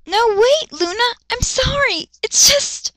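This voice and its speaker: A woman voicing an irritable, bossy young male captain who snaps and yells in a dramatic fan fiction reading. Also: extremely high pitch, expressive, takes it slowly, no room sound, pitched above the performer's own voice, heavily acted, rough recording. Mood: flustered. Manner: snapping, yelling when angered.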